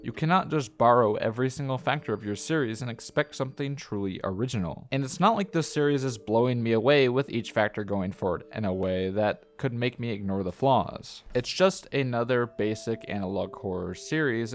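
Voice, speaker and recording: A man narrating a scripted, opinionated review as a video reviewer. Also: medium pitch.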